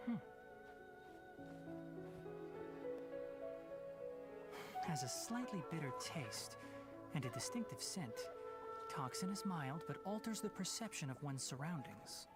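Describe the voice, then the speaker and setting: Calm young male game scholar, measured but widely swooping delivery, loud music underneath. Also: on the high side. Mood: musing, matter-of-fact. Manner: scholarly, measured, musing aloud. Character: calm, studious young male forest researcher with fox ears. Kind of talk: video game character trailer acting.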